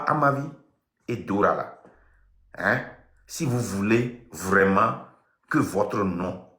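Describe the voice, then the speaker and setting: A man's voice: fairly low pitch, pushed and bright, echoey room. A man in his fifties from Togo, speaking French with a Togolese accent, giving a defiant, impassioned live-stream rant. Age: 50s. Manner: mixes French with local language, defiant and emphatic. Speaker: online activist host.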